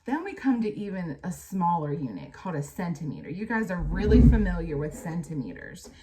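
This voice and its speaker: A woman's voice: on the low side, sing-song, a little fast, background noise. An American woman reads a lesson aloud as a teacher, adding plain explanatory asides addressed directly to children. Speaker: teacher reading a book aloud to pupils.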